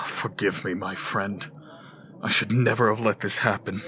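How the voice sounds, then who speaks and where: A burdened fantasy lord with a low voice and a forceful edge. He speaks formally and gravely, at a deliberate pace. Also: steady tone. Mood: grave, troubled. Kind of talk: fantasy audio drama acting.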